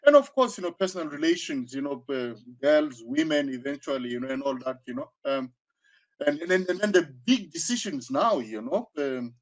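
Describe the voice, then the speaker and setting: Halting sixty-year-old southern African man, higher-pitched. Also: animated, low-quality recording. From Zambia, born in South Africa. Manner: halting, searching delivery with frequent repetitions and 'you know'. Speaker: interview guest, karate teacher. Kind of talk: reflective conversational interview.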